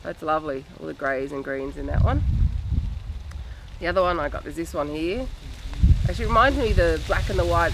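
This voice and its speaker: A woman, nasal and getting over bronchitis, chatting casually as a podcast host while showing off her yarn. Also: low voice. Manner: chatty, rambling, frequent ums. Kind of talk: casual show-and-tell chat. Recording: steady background noise.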